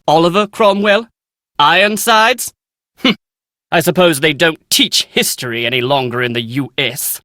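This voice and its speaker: An actor playing a pompous, excitable British scholar and would-be author in a video game, speaking in bookish, theatrical diction. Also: male voice, fairly high pitch, no room sound, clean studio sound. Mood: scornful. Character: Nigel Mukherjee, pompous, excitable British male scholar writing family history. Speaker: researcher and aspiring author. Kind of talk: video game character dialogue.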